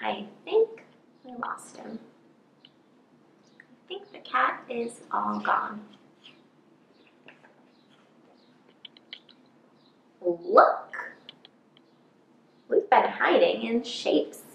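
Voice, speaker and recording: A woman voicing eager, clever little mice from a children's storybook, with emphatic, drawn-out words. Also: a little high, very animated, gravelly, a bit bright, muffled recording, a little hiss or hum, heavily acted. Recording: roomy indoor echo.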